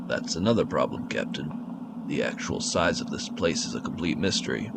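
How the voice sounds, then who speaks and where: An actor playing a cold, commanding, secretive expedition boss in an audio drama, curt and controlled in manner. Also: male voice, extremely low pitch, lively intonation, moderate pace, vocal fry, background noise, heavily acted. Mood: confident, measured.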